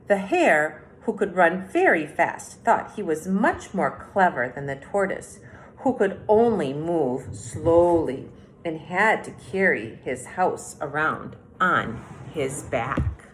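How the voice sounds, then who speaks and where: A woman speaking as an elementary school teacher, giving a lesson and reading aloud to young children in a deliberate, instructive way. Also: lowish voice, a little hiss or hum.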